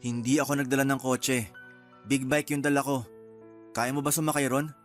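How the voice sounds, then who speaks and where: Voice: medium pitch, flat delivery, normal speed, soft background music, close to an everyday voice. An actor playing a guarded, protective young love interest in a radio drama, with a Filipino accent and restrained delivery. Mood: guarded, concerned.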